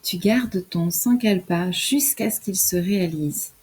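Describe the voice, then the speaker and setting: Female meditation guide: mellow, soft and breathy, soothing scripted instruction for deep relaxation. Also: a little slow. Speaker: yoga nidra guide.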